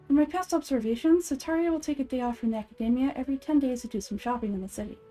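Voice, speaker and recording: A woman voicing a small, wise young girl deity from a game, speaking in a measured, earnest and gentle manner. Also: sits high, soft background music. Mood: calm, thoughtful.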